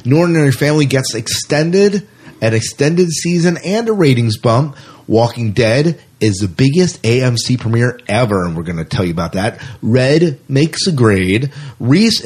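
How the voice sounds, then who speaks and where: A man with a somewhat husky voice runs a casual podcast chat. He rushes through a long rundown with enthusiasm, leaning on fillers and restarts. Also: mid-range voice, lively intonation, a little mellow. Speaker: podcast host.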